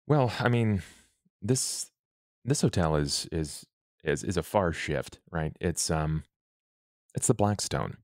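A man improvising a description as a tabletop player, halting and explanatory. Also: sits low, animated, dry recording, studio quality.

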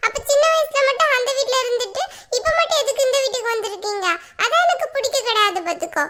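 A woman's voice: extremely high pitch, bright, forceful tone. A woman voicing a stubborn little girl in a family drama, whining and insistent with her mother.

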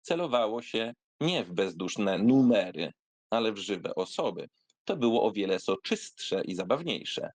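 A man giving a theatrical reading of a literary memoir, in an expressive, wry, conversational storytelling manner. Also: on the low side, unhurried, no room sound. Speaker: narrator reading a literary essay aloud.

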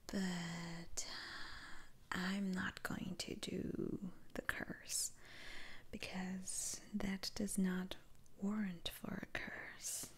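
A woman playing a stern, principled witch doctor in an ASMR roleplay, speaking in a breathy, halting whisper. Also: mid-range voice, full character voice. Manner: slow, halting, deliberate.

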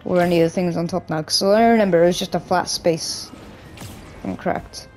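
A man with a hoarse, croaky voice from a cough, casually rambling through gameplay commentary as a gaming YouTuber. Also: sits high, steady tone, brisk, soft background music, rough recording. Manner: rambling, loose, with many restarts and "like".

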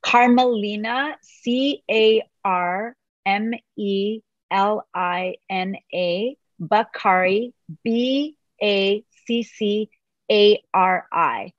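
Fast-talking woman, dry and muffled. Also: medium pitch, dry recording. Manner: fast, animated talker. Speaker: guest. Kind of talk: casual conversation.

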